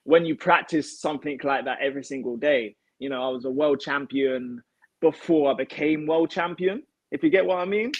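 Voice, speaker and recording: A young man chatting as an interview guest on a video call, agreeable and halting, repeating words as he goes. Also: a little high, dull audio. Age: young adult. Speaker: guest, karate athlete. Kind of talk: casual interview chat. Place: home kitchen, on a video call.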